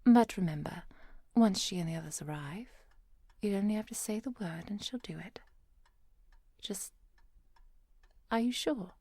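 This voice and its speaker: A woman playing a kind, protective vampire hunter in a soft, often whispered ASMR roleplay, speaking in a gentle, measured and formal way. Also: mid-range voice, husky, no room sound. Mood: businesslike. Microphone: close microphone, dry.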